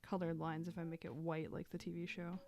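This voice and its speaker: Woman streaming her drawing, gravelly low voice, a little hoarse, rambling, soft guitar music behind. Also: little pitch movement, brisk. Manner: chatty, thinking aloud, with small laughs and fillers. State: losing her voice. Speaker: artist streaming live. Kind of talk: casual live-stream chat while drawing.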